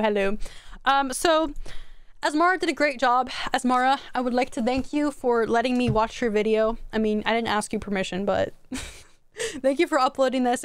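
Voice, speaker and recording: Young woman streamer, crisp dry voice. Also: fairly high pitch, dry recording. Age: young adult. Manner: chatty, rambling, frequent restarts and laughs. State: voice going hoarse from a long stream. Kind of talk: casual stream commentary. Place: home streaming room, indoors. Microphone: studio mic on a boom arm.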